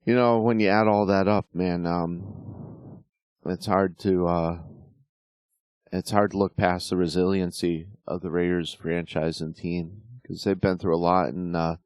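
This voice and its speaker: A middle-aged man hosting a casual sports talk show, slightly hoarse, rambling with lots of fillers and restarts. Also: deep voice, fairly even intonation, measured pace, a little mellow, no echo. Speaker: podcast host.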